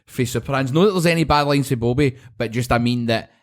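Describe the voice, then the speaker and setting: A man's voice: mid-range voice, fast. A young Scottish man co-hosting a podcast in a casual, sweary chat, often laughing and acting out scenes. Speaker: podcast co-host.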